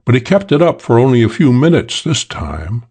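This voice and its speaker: A man voicing a suave, self-assured English gentleman thief telling a wry crime story for an audiobook, with old-fashioned British slang. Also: medium pitch, very animated, normal speed, husky. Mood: cool, matter-of-fact.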